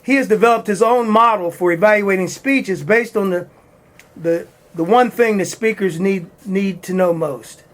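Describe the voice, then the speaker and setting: A man hosting a community talk show, introducing a speaker with halting restarts. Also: high voice. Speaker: host. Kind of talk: introducing a guest.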